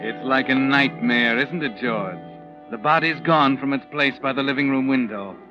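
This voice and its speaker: A man's voice: medium pitch, normal speed, lightly acted. A man playing an ominous, taunting narrator in a 1940s radio mystery, speaking in a dramatic, insinuating way. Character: ominous all-knowing male narrator taunting the accused man. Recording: muffled old 1940s radio recording, music behind.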